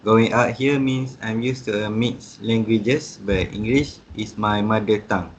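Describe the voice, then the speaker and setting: A man, giving steady, prepared answers in an interview. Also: on the low side, moderate pace, slight background noise. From Singapore. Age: 20s.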